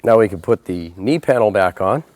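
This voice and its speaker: A man's voice: lowish voice, expressive, moderate pace, darkish tone, a little hiss or hum. A man presenting an installation tutorial, talking through each step in a plain, methodical, procedural way. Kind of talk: step-by-step instructional narration.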